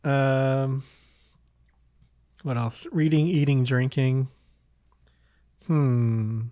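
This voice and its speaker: A man's voice: mid-range voice, steady tone, extremely slow, warm tone, no echo. A man hosting a podcast in casual conversation, hesitant and meandering, often trailing off between thoughts. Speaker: podcast host. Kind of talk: casual chat.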